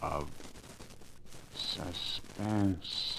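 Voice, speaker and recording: Slow, deep-voiced male radio announcer. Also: creaky. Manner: portentous, dramatic. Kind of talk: 1940s radio announcing, scripted. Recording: noisy 1940s radio broadcast recording.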